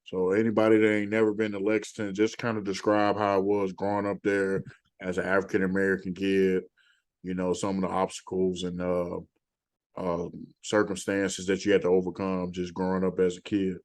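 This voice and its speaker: A man's voice: sits low, monotone, normal speed, a little mellow, no room sound, clean studio sound. A man hosting a podcast, asking plain questions with fillers. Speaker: podcast host. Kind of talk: interview questions.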